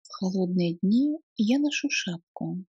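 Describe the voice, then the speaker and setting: A woman reading short phrases for beginners, repeating each one slowly in careful chunks. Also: mid-range voice, animated, a little mellow, breathy edge, no echo, dull audio. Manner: slow, careful phrase-by-phrase repetition. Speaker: language lesson reader.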